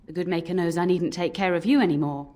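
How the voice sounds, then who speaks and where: Old scolding nanny played by a woman, low-pitched. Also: fairly even intonation, quick pace, mellow, natural voice. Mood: grumbling. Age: older. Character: old nanny, scolding but fond, telling cautionary tales. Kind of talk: scripted game voice acting, storytelling. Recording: music under the voice.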